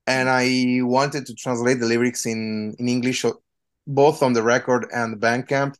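A man with an Italian accent, in his thirties, a punk musician answering interview questions in a hesitant, casual way with many fillers. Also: medium pitch. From Italy.

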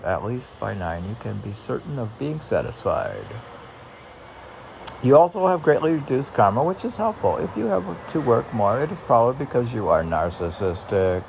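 A man reading poems aloud informally as a poet, with halting, musing asides between the lines. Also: fairly low pitch, vocal fry, slight background noise.

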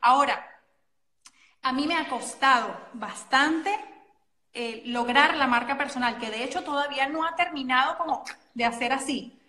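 A Venezuelan woman, a guest coach and model, talking in a chatty, animated way and often correcting herself mid-sentence. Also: sits high, moderate pace, echoey room. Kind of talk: casual interview chat.

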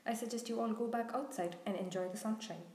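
Casting: woman narrator as strict storybook schoolmistress, brisk and commanding, mellow timbre, echoing room. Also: fairly high pitch, natural voice. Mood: firm, dismissive. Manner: curt, authoritative. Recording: roomy, echoing sound.

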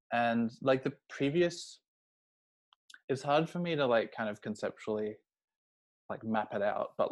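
Hesitant young man in casual conversation. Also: mid-range voice. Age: young adult. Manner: hesitant, rambling, heavy use of "like".

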